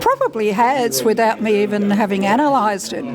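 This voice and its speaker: A woman, a doctor, giving measured, explanatory answers in a conversational interview. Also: fairly high pitch, music in the background. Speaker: doctor and guest speaker being interviewed.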